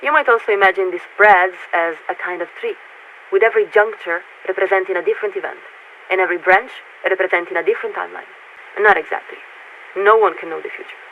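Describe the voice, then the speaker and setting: A woman playing a polite, formal military radio signaler in a video game, speaking in a measured, patient, officious way through a radio filter. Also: on the low side. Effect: radio transmission filter. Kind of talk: scripted game voice acting. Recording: tinny narrow-band radio with static.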